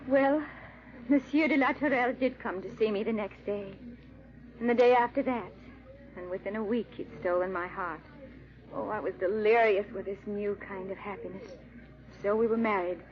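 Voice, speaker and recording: A woman's voice: medium pitch, normal speed, close to an everyday voice. A woman playing an anxious young French bride in a 1940s radio drama, with formal, theatrical diction. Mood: wistful recollection. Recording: dull, muffled old radio recording.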